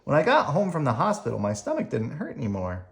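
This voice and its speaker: A storyteller reading a children's picture book aloud in a gentle bedtime manner, adding spoken asides along the way. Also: medium pitch, huge pitch swings, fast. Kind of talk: expressive read-aloud with ad-libbed asides.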